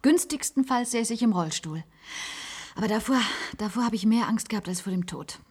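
An actress playing a wistful, resigned former secretary in a German science-fiction radio play, confiding in halting phrases. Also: female voice, on the high side, animated, darkish tone, slightly rough. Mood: anxious, resigned. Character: wistful former secretary, resigned patient living in an isolated institute.

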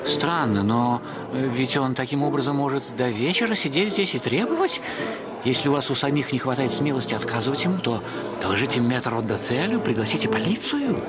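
A man's voice: medium pitch, lively intonation, brisk, lightly acted. An actor playing an anxious, indignant Frenchman in a radio short story, muttering to himself in fretful astonishment. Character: anxious, indignant Frenchman watching a glutton in horror. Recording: music behind the voice.

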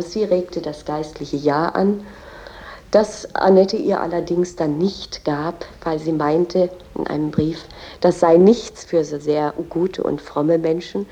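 A female tour guide in a radio drama. Her voice is low and soft-edged, dark rather than bright. She explains earnestly and reverently, hesitating and correcting herself as she goes. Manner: explanatory, halting with small slips and self-corrections. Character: female museum guide, earnest and reverent about a poet's family.

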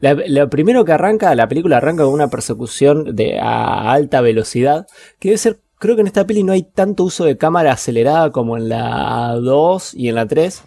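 A man's voice: a little high, animated, fairly quick, vocal fry, dark tone. A man with an Argentine accent co-hosting a podcast, chatting casually about films in slangy language with plenty of swearing. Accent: Argentine Spanish. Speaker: podcast co-host. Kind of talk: casual film chat.

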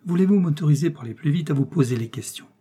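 A man's voice: on the high side, expressive, fast talker, mellow, husky. A man voicing a composed, polite investigator who puts formal, probing questions in an audiobook. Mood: courteous, probing. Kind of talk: audiobook character dialogue.

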